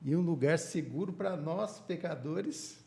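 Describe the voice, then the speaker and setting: A middle-aged man with a Brazilian accent speaks in a dark, relaxed voice with heavy vocal fry. He explains scripture conversationally, posing rhetorical questions and answering them. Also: on the high side, measured pace. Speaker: Bible study panelist. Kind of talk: explanatory religious discussion.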